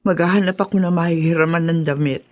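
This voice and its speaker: A woman playing a weary, loving poor mother in a Filipino radio drama, gentle and apologetic in manner. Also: on the low side, steady tone, quick pace, muffled recording, natural voice. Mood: tender, weary.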